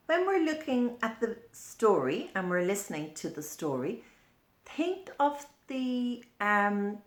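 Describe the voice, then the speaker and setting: Woman, children's storyteller, slow pace, animated pitch, asking simple questions, roomy echoing sound. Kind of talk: read-aloud picture book for young children.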